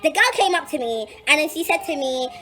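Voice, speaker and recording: A young adult woman with a London accent telling a personal story in casual, slangy, animated chat, repeating phrases for emphasis. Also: high voice, fairly quick, music in the background.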